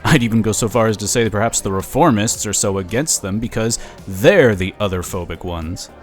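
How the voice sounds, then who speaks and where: A man's voice: fairly low pitch, a little fast, soft background music, close to an everyday voice. An actor playing a middle-aged, glib political operative in an audio drama, with a persuasive, salesman-like delivery. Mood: persuasive, enthusiastic.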